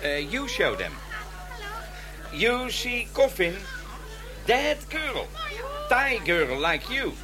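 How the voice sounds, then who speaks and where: A squeamish, earnest Dutch cop in a radio play, fairly high and very lively in pitch. His accent is Dutch, and music plays clearly behind him. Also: very slow, pauses often, exaggerated voice. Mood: earnest, pressing. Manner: plain-spoken, simplified English to foreigners. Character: earnest, squeamish Dutch policeman on a case abroad. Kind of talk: radio drama acting.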